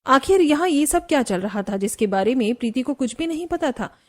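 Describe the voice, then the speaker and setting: A woman's voice: high voice, animated, a little fast, darkish tone. A woman reading scripted narration for a family cartoon story.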